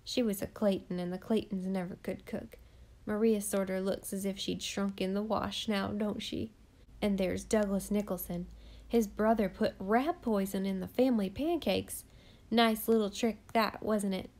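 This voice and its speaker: A woman voicing a sharp-tongued, gossiping eccentric woman who mocks her neighbours in church loudly and with scorn. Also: medium pitch, fairly even intonation, normal speed, close to an everyday voice. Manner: loud, derisive, scornful.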